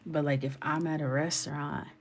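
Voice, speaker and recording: A low-pitched young woman from New York talks casually and playfully as she colors at her desk, now and then dropping to a whisper. Faint music plays behind her voice. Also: level tone, normal speed. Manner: playful, chatty, drifts into whispers and laughs. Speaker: illustrator coloring on a livestream. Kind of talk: casual chat while coloring.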